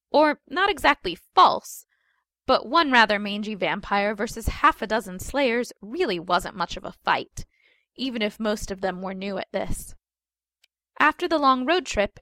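A woman's voice: medium pitch, bright, forceful tone, dry recording. Woman narrating a story, very dry recording. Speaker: fan fiction reader.

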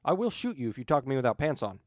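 A man's voice: medium pitch, sing-song, rapid-fire, dry recording, clean studio sound, close to an everyday voice. A man voicing an irritated, commanding spaceship captain in an audiobook, curt, blunt and exasperated.

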